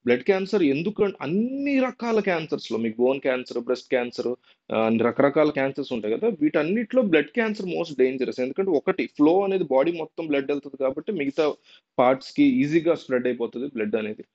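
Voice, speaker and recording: Fast-talking man, dull recording. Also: fairly high pitch, sing-song, darkish tone. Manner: repeats and rephrases points, mixes in English terms. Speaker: presenter. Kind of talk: informal unscripted explainer to viewers. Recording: dull, lo-fi sound.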